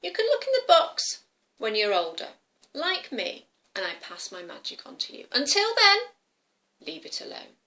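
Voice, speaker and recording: Lady doing a strict granny in a kids story, sharp voice, slow firm warnings, swoopy pitch. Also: wildly swooping pitch, full character voice. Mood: firm, relenting. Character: stern, watchful grandmother with secret magic.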